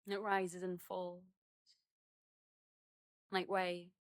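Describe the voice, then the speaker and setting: A woman leading a guided meditation outdoors, speaking in gentle, unhurried phrases with many pauses and soothing instruction. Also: fairly low pitch, completely flat delivery, no room sound, studio quality. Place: outdoors in a garden.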